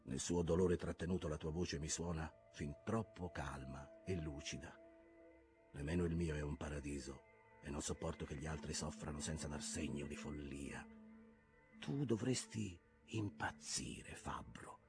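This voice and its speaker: A man voicing a brooding, obsessed old sea captain in an audiobook, switching between hushed whispers and forceful outbursts. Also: a bass voice, normal speed, soft background music. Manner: intense, shifting between whispers and loud outbursts.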